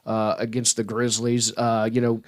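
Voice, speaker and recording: A man's voice: medium pitch, monotone, normal speed. Casual man hosting a sports podcast. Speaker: podcast host.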